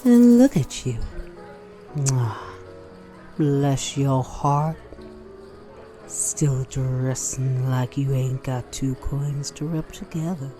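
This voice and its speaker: A woman's voice: very deep voice, very slow, pauses often, music in the background, exaggerated voice. A woman playing a sly, venomous bounty hunter in a Western audio drama, with a sugary, mocking Southern American drawl. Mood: sweetly mocking. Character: sly, venomous female rival bounty hunter in Old West. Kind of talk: Western audio roleplay acting.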